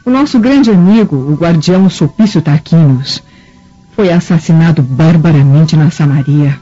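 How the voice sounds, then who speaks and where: Woman playing a venomous Roman matron, bright voice. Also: mid-range voice, lightly acted. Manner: theatrical, emphatic, indignant. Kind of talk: radio drama acting. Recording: dull radio drama recording with music.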